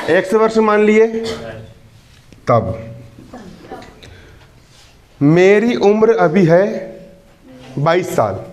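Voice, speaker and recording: A man in his early twenties teaching a maths class. He keeps repeating questions to the students and teases and scolds them. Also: sits high. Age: 20s. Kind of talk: classroom lesson. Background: students in the room.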